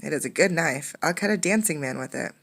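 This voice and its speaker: A woman's voice: sits low, animated, a little fast, a bit bright, slightly rough, heavily acted. Woman reading as a matter-of-fact bargaining boy. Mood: appraising. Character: bargaining young village boy, a friend. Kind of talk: storybook character voice.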